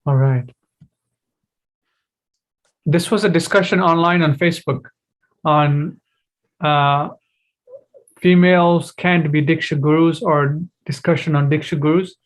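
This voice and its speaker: Male host, slightly high voice, reads text aloud steadily on a livestream. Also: fairly even intonation, measured pace, pauses often, poor audio. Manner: brief agreeing replies, then reads text aloud steadily. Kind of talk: casual discussion and reading aloud.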